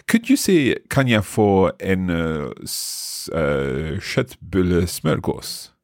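A man's voice: sits low, sing-song, slow, creaky, a little mellow, dry recording, studio quality. A man hosting a language lesson as a learner, talking hesitantly with many restarts and asking curious questions. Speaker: language podcast host, learner. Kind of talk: casual language lesson chat.

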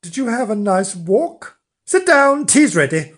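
A man voicing a bossy, impatient wife in a children's story, nagging and scolding in brisk, exasperated commands. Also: high-pitched, sing-song, pitched above the performer's own voice, exaggerated voice.

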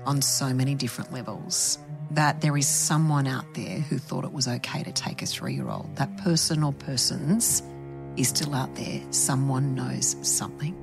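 An Australian woman co-hosting a podcast discussion in a solemn, earnest and deliberate manner. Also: extremely low pitch, creaky, over music. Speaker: podcast co-host.

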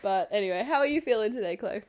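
A young woman talks with a congested, stuffy voice from a bad flu. She chats playfully and laughs easily, co-hosting a relaxed podcast with her best friend. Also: medium pitch, quick pace. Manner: laughs often, chatty and playful. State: congested from the flu, voice deeper than usual. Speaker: podcast co-host. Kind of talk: casual chat between friends.

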